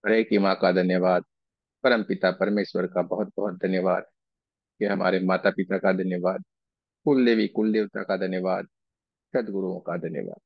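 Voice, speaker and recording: A man with a low, creaky voice guides a meditation in unhurried phrases, often repeating them gently. He pauses between short phrases in a soothing way. The recording is dry and close but somewhat dull and muffled. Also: fairly even intonation, no echo. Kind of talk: guided meditation with chanted invocation and slow repetition.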